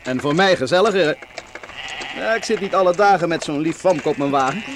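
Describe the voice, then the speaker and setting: An actor playing a young country cart driver in a Dutch radio play, jovial, folksy, teasing and gossipy. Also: male voice, fairly high pitch, animated, fairly quick, close to an everyday voice. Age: young adult.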